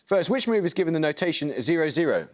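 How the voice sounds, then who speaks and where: A man hosting a student quiz show, reading questions and giving rulings in a crisp, brisk manner. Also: fairly high pitch, very animated. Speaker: quizmaster.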